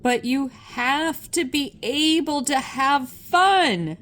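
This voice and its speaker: Woman as young girl, spirited, high voice, earnest, emphatic, storybook character. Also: drawn-out words, fairly bright tone, exaggerated voice. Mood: defiant. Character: spirited young girl, caring, openly upset.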